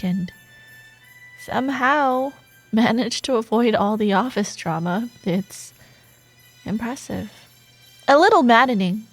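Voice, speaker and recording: A woman playing a sardonic, secretly soft female office rival in a romantic audio roleplay, teasing and expressive, with dramatic pauses. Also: medium pitch. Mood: reluctantly admiring.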